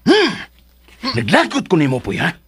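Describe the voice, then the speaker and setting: A Filipino actor playing a bossy, hot-tempered schemer in a radio drama, with a rough, bullying, theatrical delivery. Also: male voice, high-pitched, wildly swooping pitch, normal speed, over music, exaggerated voice. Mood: bossy, irritated. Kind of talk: Philippine radio drama acting.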